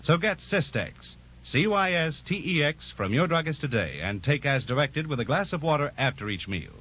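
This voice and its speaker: A muffled old radio recording of a male commercial announcer from the 1940s. He reads an earnest sales pitch at a medium pitch, with lively swings and careful enunciation. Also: moderate pace, slightly rough. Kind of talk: 1940s radio advertisement, scripted persuasive read.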